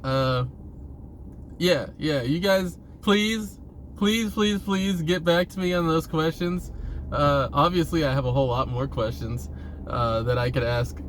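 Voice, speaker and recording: A man giving a casual, rambling monologue to viewers, halting and repeating himself, with informal, sweary phrasing. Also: a little high, a little hiss or hum. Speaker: vlogger.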